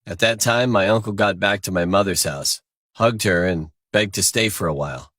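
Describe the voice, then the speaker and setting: A man's voice: fairly low pitch, moderate pace, a bit bright, no room sound, studio quality. A man narrating a scripted first-person crime story.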